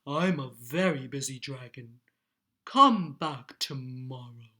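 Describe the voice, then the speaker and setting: A woman's voice: extremely low pitch, takes it slowly, sharp tone, pitched below the performer's own voice, heavily acted. A woman voicing a smug, boastful male dragon in a storybook, with grand, stretched-out, breath-heavy delivery. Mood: smug, dismissive. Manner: gasps, sighs and stretches words grandly. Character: busy, boastful, smug male dragon who eats princesses.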